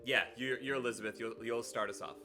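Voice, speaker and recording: A man hosting a live stream, chatting playfully with sudden excited jumps in his voice. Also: mid-range voice, quick pace. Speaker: livestream host. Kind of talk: casual live stream chat.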